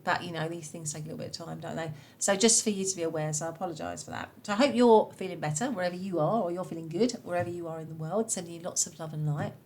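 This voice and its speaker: Croaky-voiced woman reading tarot cards. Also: fairly low pitch. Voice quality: hoarse, slightly nasal. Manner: chatty, reassuring, many fillers. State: croaky voice from a cold, blocked nose. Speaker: tarot reader. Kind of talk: pick-a-card tarot reading.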